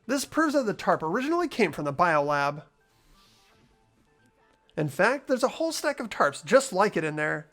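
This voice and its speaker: A performer voicing an earnest, anxious young male student who reasons out loud, in a fan dub of a video game. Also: mid-range voice, sing-song, normal speed, pauses often, faint music behind. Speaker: main protagonist.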